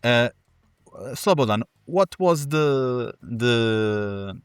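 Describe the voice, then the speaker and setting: A man's voice: mid-range voice, lively intonation, extremely slow, clean tone, dry recording, studio quality. A man hosting an interview, asking questions hesitantly and rephrasing them as he goes. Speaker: host.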